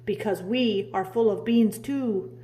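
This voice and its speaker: A woman's voice: mid-range voice, slow pace, warm tone, lightly acted. A woman voicing a small indignant male Chihuahua in a storybook, emphatic and singsong. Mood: aggrieved.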